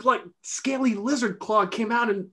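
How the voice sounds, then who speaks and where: A man reading the part of an excitable, hesitant younger brother in a film script. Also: very high voice, normal speed. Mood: anxious, insistent.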